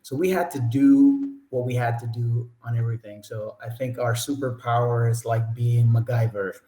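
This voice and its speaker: A man from the Dominican Republic, a panelist speaking in a friendly, steady and upbeat way on a video call. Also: mid-range voice, normal speed, reverberant. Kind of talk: casual panel talk.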